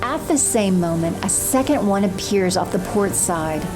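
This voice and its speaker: A woman's voice: medium pitch, animated, normal speed, music in the background. A woman reading scripted third-person narration for an audio drama.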